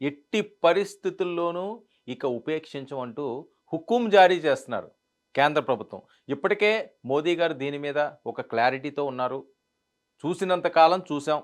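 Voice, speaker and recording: A man delivering news commentary in an emphatic way, repeating phrases for stress. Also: fairly high pitch. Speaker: news presenter.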